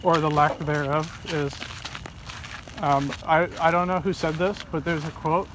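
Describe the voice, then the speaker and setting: A young man with a fairly high voice talks in a searching, halting way, stumbling over repeated words. He is out on a walk, and outdoor noise is clearly heard behind him. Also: fairly even intonation. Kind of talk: casual philosophical chat.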